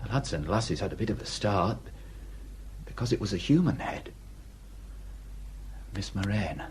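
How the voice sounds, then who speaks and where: Northern English village police sergeant. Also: male voice, fairly low pitch, lively intonation, measured pace, a little mellow, a little hiss or hum. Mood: grim, hushed. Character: deferential local village police sergeant, plain-spoken. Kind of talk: naturalistic radio drama acting.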